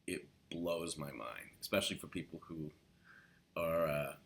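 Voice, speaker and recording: Deep-voiced New man, easygoing. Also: unhurried, vocal fry, slight background noise. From New York. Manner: rambling, filler-heavy, acts out quoted LA speech with rising upswing. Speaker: accent coach. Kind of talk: casual instructional talk to camera.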